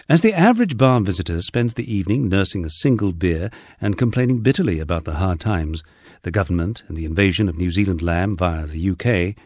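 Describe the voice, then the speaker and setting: A man reads his memoir as an audiobook narrator, with a wry storyteller's touch. His voice sits fairly low and has a husky grain, and the pitch moves up and down in a very animated way. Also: dry recording, clean studio sound. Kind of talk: scripted first-person memoir narration.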